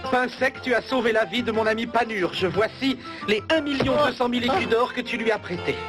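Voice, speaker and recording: A man voicing a sensible, good-natured lordly hero in an animated series, in a declamatory manner. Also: extremely high pitch, brisk. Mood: solemn moralizing. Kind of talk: French animated series voice acting. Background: music.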